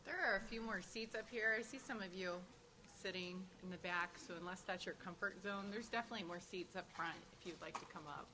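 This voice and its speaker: A woman co-presenting at a conference, speaking quietly to the audience. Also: medium pitch, a little fast, noisy background. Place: conference room.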